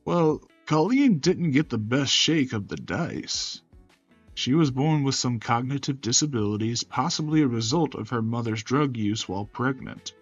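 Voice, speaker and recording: A man's voice: mid-range voice, expressive, moderate pace, breathy edge, no echo, lo-fi sound, faint music behind. A man voicing a courteous, protective male caregiver in a visual novel, with a folksy manner. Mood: gently explaining.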